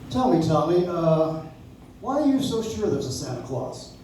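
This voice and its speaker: A man playing an earnest, mild-mannered defense lawyer in a radio play, polite and measured. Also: on the high side, very animated, a little mellow, slight background noise. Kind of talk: old-time radio play acting. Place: coffee shop stage, recorded from the audience. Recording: echoey live stage, recorded from far off.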